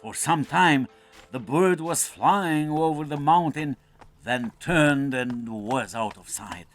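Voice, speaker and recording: Male narrator for children's fairy tales. Higher register, lively intonation, deliberate dramatic delivery over music. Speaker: storyteller, narrator.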